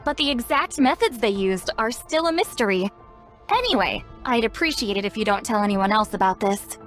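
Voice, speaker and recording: A woman's voice: fairly high pitch, lively intonation, normal speed, a bit bright. A woman voicing a spry, youthful-sounding self-styled granny in a video game, playful, knowing and caring. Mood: concerned, thoughtful. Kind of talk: scripted video game dialogue. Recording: game music behind. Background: game music.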